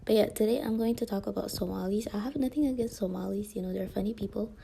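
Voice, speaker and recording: A woman's voice: mid-range voice, quick pace, creaky, dark tone, slight background noise. A young woman talking casually to her viewers in a rambling monologue, full of fillers and often dropping into a whisper. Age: young adult. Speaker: vlogger.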